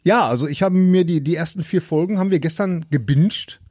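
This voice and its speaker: Male co-host, slightly high voice, dry recording, casual and a little hesitant. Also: brisk, darkish tone, no echo. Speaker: podcast co-host. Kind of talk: casual chat, personal anecdotes.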